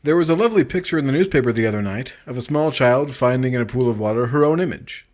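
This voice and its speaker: A man's voice: mid-range voice, lively intonation, fast, a little mellow, close to an everyday voice. A man playing the courteous star host of a 1950s radio drama series, presenting in a scripted style. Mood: courteous, composed.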